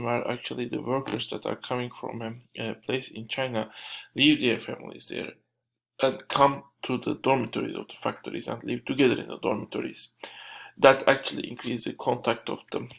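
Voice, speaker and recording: A man from Turkey with a Turkish accent giving an unscripted lecture, speaking haltingly as he searches for words and corrects himself. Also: medium pitch, gravelly, poor audio.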